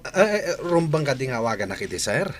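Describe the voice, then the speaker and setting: A man's voice: medium pitch, sing-song, fast, lightly acted. A man with an Ilocano accent playing a boastful, stammering driver who poses as the boss in a radio comedy drama. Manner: pompous, stammering. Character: boastful, bumbling male driver posing as boss.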